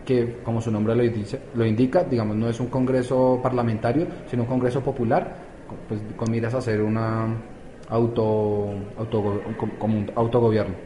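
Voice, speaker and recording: A man's voice: medium pitch, level tone, normal speed, dark tone, a little hiss or hum, low-quality recording. A man from Colombia, answering interview questions as a youth activist, hesitant with many fillers and restarts.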